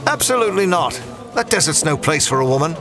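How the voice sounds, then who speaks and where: An actor playing a stubborn, reckless archaeologist adventurer in an audio drama, exasperated and sardonic with old-fashioned exclamations. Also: male voice, on the high side, lively intonation, fast talker, lightly acted. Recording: loud music behind the voice.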